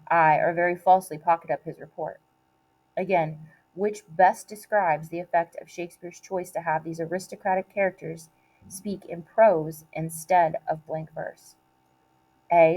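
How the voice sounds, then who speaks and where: Mellow, lowish-voiced woman reading aloud deliberately. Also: poor audio. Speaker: teacher reading a test aloud. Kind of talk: read-aloud of multiple-choice questions and quoted play lines.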